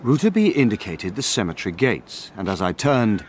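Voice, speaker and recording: An actor playing a reflective friend who narrates the tale in a measured, literary voice in a radio drama. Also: male voice, on the low side, big pitch swings, slight background noise. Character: reflective male narrator, loyal friend looking back. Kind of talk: dramatized first-person storytelling, radio drama.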